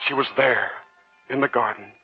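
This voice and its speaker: An actor playing an earnest, romantic young student, courteous and measured, speaking and narrating in 1940s radio style. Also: male voice, medium pitch, natural voice. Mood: brooding narration. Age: young adult. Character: earnest, romantic young male medical student, smitten and uneasy. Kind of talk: 1940s radio acting, dramatic first-person narration. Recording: muffled 1940s radio recording with music.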